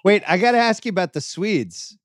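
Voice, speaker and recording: A man hosting a podcast, chatty and joking as he asks his guest quick questions. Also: high-pitched, animated, no echo, clean studio sound. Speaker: podcast host. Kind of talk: casual interview chat.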